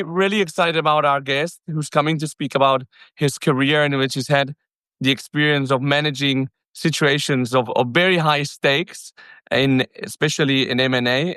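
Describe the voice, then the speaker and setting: Chatty male podcast host, crisp dry sound. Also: a little high, no room sound. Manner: energetic, talkative, self-correcting. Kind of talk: casual podcast interview.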